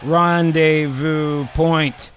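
A man's voice: a little high, fairly even intonation, drawn-out words, fairly bright tone. A man streaming from close on the mic, chatting casually and brainstorming, drawing out phrases as he types along. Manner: drawn out while typing aloud. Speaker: co-host and writer. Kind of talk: casual improvised brainstorming chat. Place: home streaming room. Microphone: close microphone on boom arm. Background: music.

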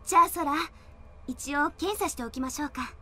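A woman's voice: sits high, fairly quick, pushed and bright, faint music behind. An actress playing a confident, reassuring female doctor-scientist in an anime audio drama, friendly, assured and casual. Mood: confident, brisk.

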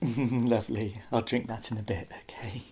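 A man in his thirties doing a soft-spoken ASMR roleplay as a rude, bitter actor, muttering in a world-weary, grumbling way. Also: mid-range voice, steady tone, normal speed, slight background noise. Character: rude, bitter male actor signing autographs. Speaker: roleplay performer. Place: small indoor set at a desk.

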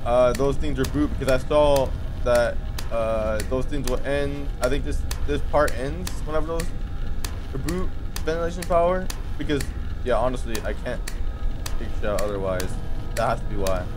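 A man's voice: mid-range voice, normal speed, over music. A man in his twenties giving casual, exasperated, sweary let's-play commentary, often muttering to himself. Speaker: gaming video host. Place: bedroom, indoors. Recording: noisy, game ambience underneath.

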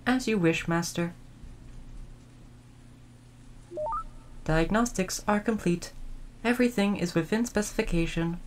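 A woman's voice: mid-range voice, natural voice. Woman playing a formal, caring android servant. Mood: polite, attentive. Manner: formal, polite, measured phrasing. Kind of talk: audio roleplay addressed to the listener.

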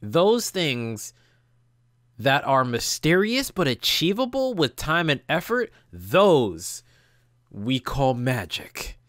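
A man's voice: medium pitch, sing-song, takes it slowly, fairly bright tone, no echo, clean studio sound. A young adult man reading visual novel text aloud as a gaming host, stumbling now and then and adding casual reactions. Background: game music.

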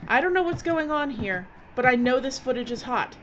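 An actress playing a bossy, impatient, sharp-tongued filmmaker in an audio drama. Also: female voice, high voice, lively intonation, fairly quick. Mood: urgent, scolding. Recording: dull, noisy audio drama recording.

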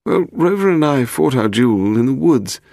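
A man's voice: mid-range voice, lively intonation, moderate pace, vocal fry, darkish tone, no room sound, clean studio sound, pitched above the performer's own voice. A man voicing a nervous, indignant in an audiobook, prim and plaintive, forever protesting. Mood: aggrieved confession. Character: fussy, nervous, bald upper-class Englishman, indignant and wounded.

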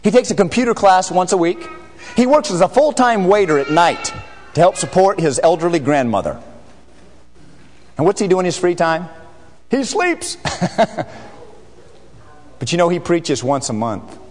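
A middle-aged American man, a missionary, telling stories to a church congregation in an animated, joking way. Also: high-pitched, normal speed, long pauses. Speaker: missionary speaker. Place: large church sanctuary. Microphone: far camera. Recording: reverberant sanctuary.

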